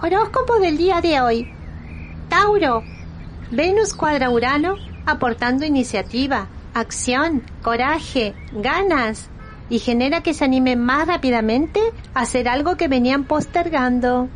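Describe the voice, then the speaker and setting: Woman playing an eager high-pitched Argentine talking newspaper. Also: measured pace, slight background noise. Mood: reading aloud. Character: talking newspaper, eager, needy, excitable female. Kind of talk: radio play acting.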